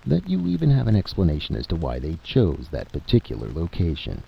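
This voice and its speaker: A man's voice: low-pitched, brisk, gravelly, exaggerated voice. A man voicing a skeptical, nasty State Department official with pointed sarcasm in a story reading, on a dull lo-fi recording. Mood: snide. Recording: dull lo-fi audio with steady hiss.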